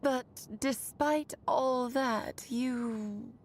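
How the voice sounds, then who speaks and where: An actress playing a refined, playful woman of magic in a video game, with elegant, theatrical and expressive phrasing. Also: high-pitched, drawn-out words, slight background noise, exaggerated voice. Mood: cautioning. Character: playful, refined female magician, affectionate confidante. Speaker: game character voice actor. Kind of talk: dramatic scripted game dialogue.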